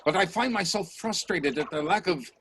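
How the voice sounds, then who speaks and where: An actor playing a bold, probing scientist and time traveller in a radio play, speaking in an assertive, professorial way. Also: male voice, high-pitched, lively intonation, moderate pace, a bit bright, husky, exaggerated voice. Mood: probing, dissatisfied. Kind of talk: radio drama acting. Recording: noisy video-call audio with clicks.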